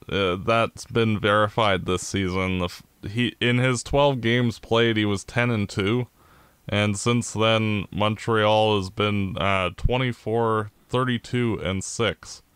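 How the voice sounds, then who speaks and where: Canadian male podcast co-host, unhurried analyst, smooth and clear, close dry mic. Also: fairly low pitch, fairly even intonation, fairly bright tone, no echo. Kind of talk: casual sports chat.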